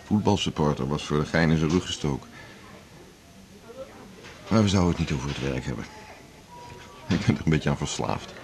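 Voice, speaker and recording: A man's voice: very deep voice, normal speed, pauses often, darkish tone. An actor playing a workaholic middle-aged male internist in a 1980s radio drama, talking in a wry, self-mocking, confiding way. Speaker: hospital internist and chief.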